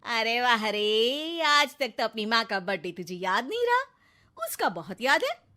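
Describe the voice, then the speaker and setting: A woman's voice: fairly high pitch, sing-song, unhurried, sharp tone, full character voice. A woman voicing a neglected, talkative cartoon housewife and mother, full of dramatic exclamations and biting questions. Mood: sarcastic. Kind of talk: Hindi cartoon moral story acting.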